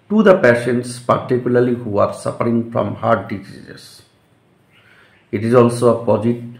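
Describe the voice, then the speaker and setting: A man from West Bengal with a Bengali Indian accent, a schoolteacher reading out a lesson in deliberate, emphatic phrases. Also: mid-range voice, a little mellow. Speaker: school English teacher. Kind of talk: instructional lesson, reading a model letter aloud. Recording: echoey room.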